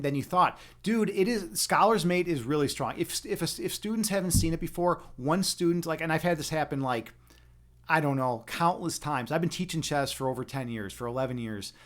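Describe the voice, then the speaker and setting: Man, chess teacher, casual livestream talk, slightly high voice, lots of fillers and "right?". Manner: rambling, with frequent fillers and tag questions like "right?".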